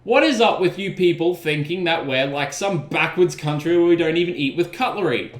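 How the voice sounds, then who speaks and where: A man's voice: a little high, lively intonation, a little fast. A young man with an Australian accent answering questions in a sarcastic, exasperated comic vlog. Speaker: YouTuber. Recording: echoey room.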